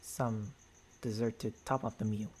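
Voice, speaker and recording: A man voicing a gentlemanly, tender vampire boyfriend in an intimate ASMR roleplay, speaking haltingly into a close binaural microphone with formal endearments and a husky, breathy voice. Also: lowish voice, fairly even intonation. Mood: soothing, apologetic.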